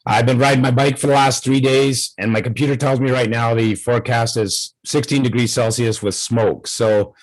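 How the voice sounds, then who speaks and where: A man's voice: medium pitch, steady tone, moderate pace, few pauses. A middle-aged man speaks on a webinar panel over a video call. His voice sounds crackly and strained, and he introduces himself in a plain, friendly way. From Canada. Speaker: security manager, guest panelist.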